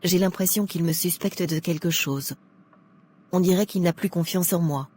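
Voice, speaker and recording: A woman playing a gentle young fiancée. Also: lowish voice, normal speed, breathy edge.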